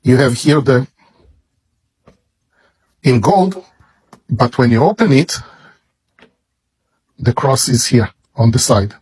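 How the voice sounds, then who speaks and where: A man with a Hebrew accent, a native Hebrew speaker, slowly and reverently showing off a bible as a product. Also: medium pitch, expressive, darkish tone, breathy edge, rough recording. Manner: slow, deliberate, reverent. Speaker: seller presenting a bible. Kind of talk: product demonstration.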